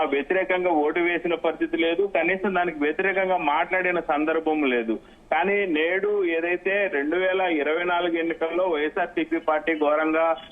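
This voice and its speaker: Guy reporting live news over a tinny phone line, kinda high voice, halting and repeats himself. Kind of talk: live news report, unscripted political analysis. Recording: phone-like, thin narrowband line.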